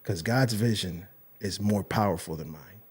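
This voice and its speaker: A man's voice: low-pitched, normal speed, a little mellow, husky. A young man hosting a podcast, speaking earnestly and emotionally in a rambling casual chat with many restarts. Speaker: podcast host.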